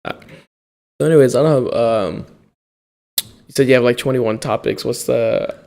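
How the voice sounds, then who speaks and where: A man's voice: medium pitch, normal speed, vocal fry, darkish tone. A young man chatting on a podcast, laid-back and laughing often, stumbling over his openings. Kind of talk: casual chat.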